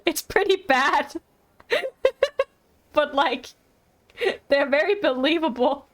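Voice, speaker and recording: A woman's voice: high voice, animated, slow, a bit bright. A woman streamer reacting casually to a game, rambling and teasing, often repeating her words. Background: game music.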